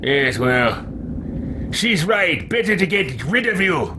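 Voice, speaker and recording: An actor playing an arrogant, disdainful mage adventurer in a video game, haughty and sardonic. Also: male voice, a little high, sing-song, slow, very noisy.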